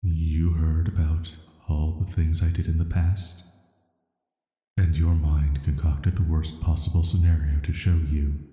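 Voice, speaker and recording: A man playing a banished, cursed villain in a sleep-aid audio drama, soft and hushed, with a flat, hesitant, measured delivery in atmospheric reverb. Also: a bass voice. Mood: evasive, calm. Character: banished cursed male villain, soft, flirty, secretly dangerous dream visitor. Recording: echoing, long reverb.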